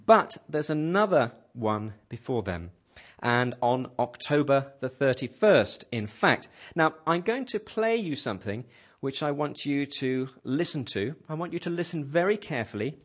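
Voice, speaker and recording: A man's voice: medium pitch, lively intonation, normal speed, few pauses. Man, radio English lesson host, patient, repeats answers. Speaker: host leading an English class.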